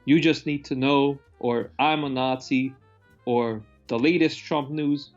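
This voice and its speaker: A man's voice: mid-range voice, steady tone, a little slow, muffled recording, faint music behind. A man co-hosting a casual, opinionated film chat, blunt and grumbling, with plenty of swearing. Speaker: film review podcast co-host.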